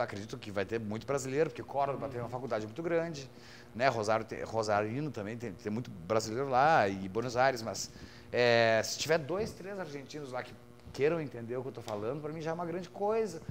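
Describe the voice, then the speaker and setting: Gaúcho man, 30s, musician guest, mid-pitched, rambling casual delivery, faint hum behind. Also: quick pace. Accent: gaúcho Brazilian Portuguese. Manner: relaxed, rambling, with frequent fillers like "cara" and "saca". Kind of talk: casual conversational storytelling.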